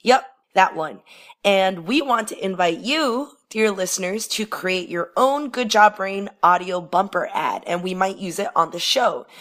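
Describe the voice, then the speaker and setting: A woman hosts a quiz podcast with a raspy, hoarse voice, as she is under the weather. She talks in a friendly, playful way at a medium pitch. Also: fairly bright tone. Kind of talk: casual solo podcast announcement.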